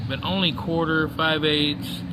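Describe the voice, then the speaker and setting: A man's voice: a little high, level tone, measured pace, heavy background noise. A man talking casually as a radio hobbyist and mentor, explaining in a folksy, wandering way. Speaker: ham radio operator and mentor. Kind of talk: casual explanatory vlog talk. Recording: loud music behind the voice. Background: loud music.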